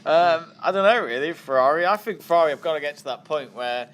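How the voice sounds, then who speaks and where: A man's voice: a little high, animated, fast talker, fairly bright tone. A man, a podcast guest giving joking, rambling opinions in casual chat.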